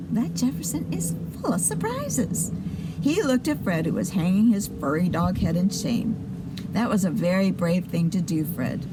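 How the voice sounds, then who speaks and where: A woman's voice: on the low side, background noise. A woman hosting a children's show and telling a story, with chatty asides to her listeners.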